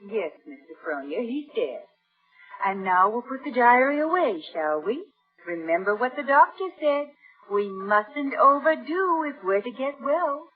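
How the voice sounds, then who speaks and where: High, husky, coaxing nurse. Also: female voice, sing-song, measured pace, heavily acted. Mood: soothing. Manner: soothing, placating. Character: coaxing nurse humoring her patient. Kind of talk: 1950s radio drama acting. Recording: muffled vintage radio recording, music behind.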